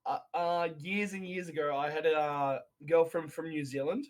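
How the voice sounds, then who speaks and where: A man's voice: fairly high pitch, fairly even intonation, normal speed. A man chatting casually and telling stories. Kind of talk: casual chat.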